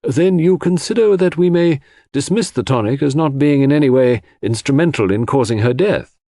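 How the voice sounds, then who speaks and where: Male narrator as coroner, formal, brisk, courteous, deliberate questioning, animated pitch, audiobook mystery. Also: no room sound, clean studio sound. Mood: probing, deliberate.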